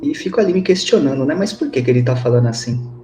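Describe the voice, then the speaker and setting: A man's voice: medium pitch, animated, fast talker, mellow. A man with a Brazilian accent playing a tabletop RPG, casually narrating what his character does. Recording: roomy echo, music behind. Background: music.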